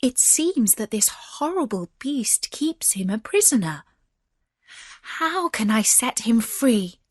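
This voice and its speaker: A woman voicing a kind-hearted, timid young storybook heroine in an earnest, expressive way. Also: on the high side, slow pace, slightly rough, no echo, studio quality, heavily acted. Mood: wondering.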